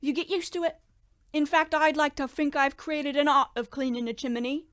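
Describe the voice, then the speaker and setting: High-pitched, earnest little sweep monster. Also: female voice, normal speed, fairly bright tone, dry recording, studio quality. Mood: sheepish, earnest. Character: chirpy, anxious little monstrous chimney sweep, gently loyal. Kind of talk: old-time radio horror comedy acting.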